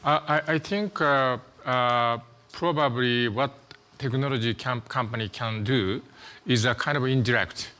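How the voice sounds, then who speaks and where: A man's voice: mid-range voice, measured pace, bright, forceful tone. A man answering as a panelist, hesitant and full of fillers.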